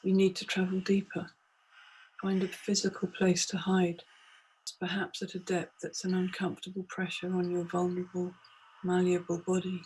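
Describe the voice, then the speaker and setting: A woman leads a guided meditation as scripted, poetic narration. Her pitch ranges very widely as she tells it deliberately and immersively. The recording has clear ambient noise around the voice. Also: monotone, a little mellow, rough recording. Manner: deliberate, immersive second-person storytelling. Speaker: meditation guide, multimedia artist.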